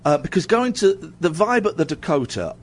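A middle-aged male radio host with a husky, slightly raised voice and a lively, swooping delivery. He rambles and mocks himself, restarting his sentences as he chats with callers on air. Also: on the high side, moderate pace. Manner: self-mocking, rambling with many restarts. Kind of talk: casual radio chat with callers.

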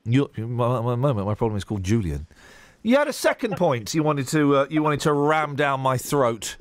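A man's voice: medium pitch, very animated, normal speed, no echo. A bunged-up male radio host bantering with callers in a joking, mock-indignant way. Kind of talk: playful phone-in banter. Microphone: studio mic.

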